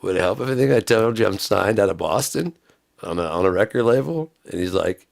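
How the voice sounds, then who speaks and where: A man's voice: fairly low pitch, expressive, brisk, creaky, no room sound, clean studio sound. A man tells a rambling, profane story, laughing often. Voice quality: raggedy voice from smoking and screaming. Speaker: vlogger telling a story. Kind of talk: casual storytelling monologue.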